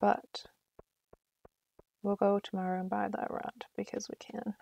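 Mellow, creaky-voiced woman streaming a game. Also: medium pitch, completely flat delivery, measured pace, no echo, muffled recording. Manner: self-deprecating, thinking aloud. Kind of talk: casual live stream gameplay chat.

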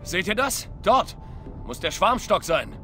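Urgent young soldier, lively pitch. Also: male voice, measured pace, faint music behind, full character voice. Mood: wary. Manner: commanding, urgent. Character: young male soldier leading squad, urgent, searching for his father. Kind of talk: video game voice acting, German dub.

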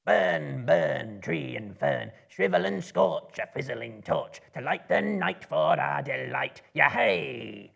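Gravelly, jeering goblins. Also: male voice, medium pitch, very animated, a bit bright, pitched above the performer's own voice, heavily acted. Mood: savage glee. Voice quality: gravelly, harsh. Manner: slow, taunting sing-song chant. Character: jeering band of cruel goblins, gleefully singing.

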